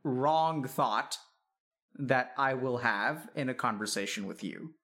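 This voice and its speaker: A man's voice: medium pitch, slow, a bit bright. A man in a conversational counseling interview, reflective and probing, building his points step by step and checking in with questions.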